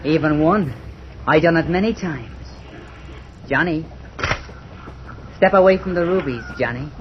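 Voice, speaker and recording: A treacherous, greedy sea rogue acted by a man in an old radio play. His highish, theatrical voice swoops and wheedles, on a dull recording with faint noise. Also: measured pace, lightly acted. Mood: menacing. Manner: theatrical, wheedling, swinging from groans to roars. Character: Morgridge, greedy, treacherous male seafaring rogue. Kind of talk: 1950s old time radio drama acting.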